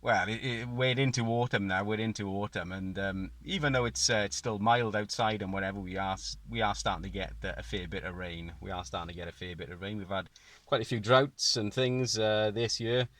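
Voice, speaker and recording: A man's voice: lowish voice, steady tone, brisk, fairly bright tone. A man with a British accent chatting casually as a radio operator, repeating phrases for clarity. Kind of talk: casual amateur radio chat. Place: inside a parked or mobile car.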